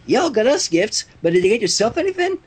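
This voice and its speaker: A reader voicing a plain-spoken, warm female country pony from a cartoon, folksy and earnest. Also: medium pitch, very animated, fast talker, husky, pitched above the performer's own voice. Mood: curious.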